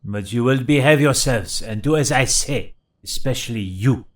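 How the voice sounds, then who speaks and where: A man's voice: mid-range voice, normal speed, lightly acted. A man playing a stern, haughty Japanese master of the house in an audio drama. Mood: grudging, stern.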